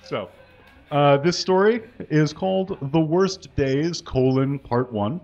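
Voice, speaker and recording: A man giving a comic reading on a small stage at a stand mic, deadpan and mock-earnest. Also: fairly high pitch, takes it slowly, dull audio. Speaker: guest reader. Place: small stage with curtains and brick wall.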